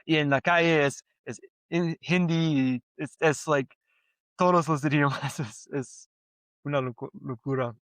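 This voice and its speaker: A man speaking learner Spanish at an unhurried pace. His voice is clear and clean, and the sound is dry and close. He talks hesitantly, restarts often and fills gaps with quick yeses. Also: a little high, fairly even intonation, fairly bright tone, dry recording. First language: English. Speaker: podcast co-host. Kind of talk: casual conversation in learner Spanish.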